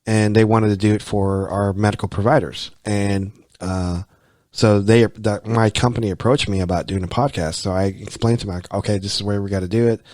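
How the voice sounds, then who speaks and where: A man's voice: lowish voice, fairly even intonation, brisk, darkish tone, no echo. An American man hosting a podcast, talking casually and rambling, often repeating himself and saying "you know". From United States. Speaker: podcast host. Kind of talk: casual conversation.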